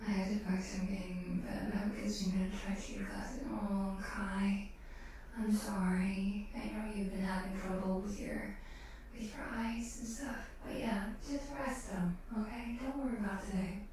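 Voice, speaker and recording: A woman chatting casually in a soft, breathy voice that often drops to a whisper, in a gentle ASMR livestream style with hesitant musing. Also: medium pitch, fairly even intonation, measured pace, slight background noise, low-quality recording. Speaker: ASMR live streamer. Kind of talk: soft ASMR chat with viewers, much of it whispered.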